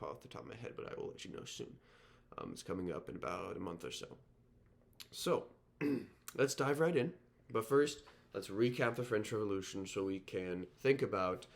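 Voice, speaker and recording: Teacher guy w a sore throat, kinda nasal, lots of ums, fairly low voice. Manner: informal, many ums and restarts. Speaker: history teacher. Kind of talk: recorded online lecture.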